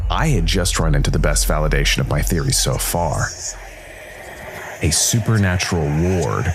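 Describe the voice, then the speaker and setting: A man narrating a scripted first-person noir story as a world-weary supernatural detective, brooding and dramatic, with deliberate, weighted pauses. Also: deep voice, a little hiss or hum. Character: world-weary supernatural noir detective, male jazz musician working for Death.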